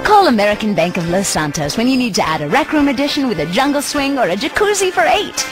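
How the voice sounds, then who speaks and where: A slick advertising announcer, fairly high and very animated over music. She pushes the sale cheerfully, in the manner of a parody bank commercial. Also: fast talker. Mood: slick, upbeat.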